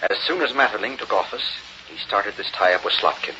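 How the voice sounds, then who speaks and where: An actor playing a troubled, earnest middle-aged public official and father in an old radio drama, speaking haltingly. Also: fairly low pitch, lively intonation, sharp tone. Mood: resigned, earnest. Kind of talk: 1930s-40s radio drama acting. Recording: dull, noisy old radio recording.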